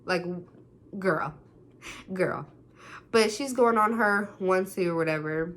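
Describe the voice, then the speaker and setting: A young woman telling a story to the camera, talking loudly and acting out what people said. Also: lowish voice, slow. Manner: loud, animated, quotes people in different voices. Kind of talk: casual storytime monologue.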